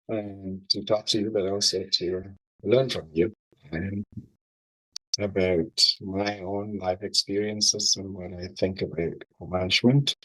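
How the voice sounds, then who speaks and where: Slow, deep-voiced man. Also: fairly bright tone, low-quality recording. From Cameroon. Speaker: guest speaker, wildlife manager. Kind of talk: formal talk to a class.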